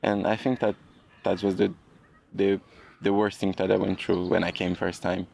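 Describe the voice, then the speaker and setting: Brazilian man with a low, gravelly voice. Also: normal speed. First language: Portuguese. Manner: relaxed, frequent "you know" asides. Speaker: guest. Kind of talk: casual podcast conversation.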